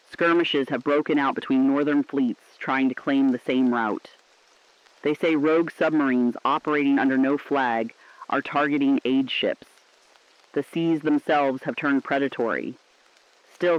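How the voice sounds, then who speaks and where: A man's voice: medium pitch, no echo, close to an everyday voice. A man playing an earnest, defiant radio correspondent in a shelter, giving a solemn, dramatic broadcast on a dull, dry recording.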